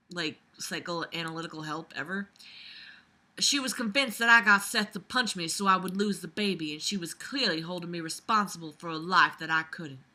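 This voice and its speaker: Young woman reading a story out loud and mocking it, super bright edgy voice, fed up and sweary. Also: on the low side. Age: young adult. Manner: weary, exasperated, sweary. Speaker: vlogger reading fiction aloud and mocking it. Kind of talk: casual reading with sarcastic commentary. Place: small bedroom, lying on a bed.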